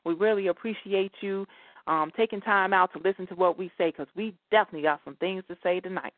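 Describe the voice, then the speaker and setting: A woman's voice: medium pitch, fast, dry recording. A woman hosting a talk radio show in a conversational way, addressing her listeners directly. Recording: dry, thin phone-like line.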